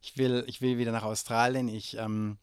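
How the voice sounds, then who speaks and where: German guy in his forties answering questions, stops and restarts a lot, smooth clear voice. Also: medium pitch, flat delivery, moderate pace, dry recording, clean studio sound. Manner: hesitant, many filler sounds and restarts. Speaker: interview guest. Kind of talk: conversational interview answers.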